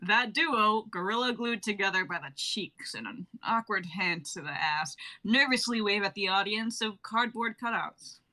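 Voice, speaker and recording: A young woman narrates a scripted comedy sketch, reading out the stage directions. Her voice is bright and forward, at a middling pitch. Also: expressive, moderate pace, few pauses, low-quality recording. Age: young adult.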